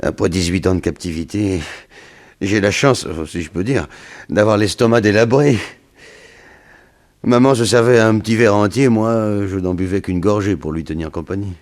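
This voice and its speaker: A man's voice: on the low side, normal speed, darkish tone, lightly acted. A man playing a worn-out, mournful former captive in a hospital bed, hesitant and weary, in a 1960s French radio mystery. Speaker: actor in a radio serial.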